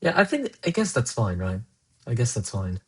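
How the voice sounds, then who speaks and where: A man's voice: mid-range voice, huge pitch swings, normal speed, fairly bright tone, husky. Man thinking aloud while coding. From Colombia. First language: Spanish. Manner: muses aloud, answers chat between tasks. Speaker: programmer streaming live coding.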